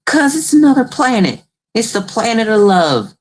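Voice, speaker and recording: A man's voice: very high-pitched, big pitch swings, normal speed, voice pushed up, full character voice. A man voicing a timid but indignant farmer's wife in an exaggeratedly feminine, plaintive way for a mock radio play. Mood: corrective.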